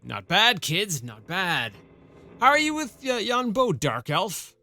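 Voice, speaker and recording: A man voicing a gruff one-armed old army sergeant with a put-on rustic dialect, drawling slowly, in a storybook reading. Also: sits high, sing-song, pushed and bright, pitched above the performer's own voice, heavily acted. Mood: approving.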